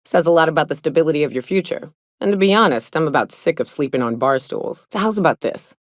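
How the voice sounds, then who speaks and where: Game role: hardened female monster hunter, low-pitched, blunt, folksy and world-weary, close dry sound. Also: expressive, fast, no echo. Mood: gruff, warming. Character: tough, hard-drinking, haunted female monster hunter and mercenary. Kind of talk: video game character dialogue.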